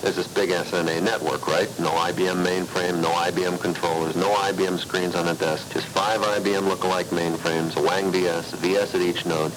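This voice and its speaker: A man's voice: low voice, little pitch movement, a little fast, few pauses, loud music behind the voice, rough recording. A man delivering a scripted first-person radio testimonial in punchy short phrases. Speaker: radio ad spokesman.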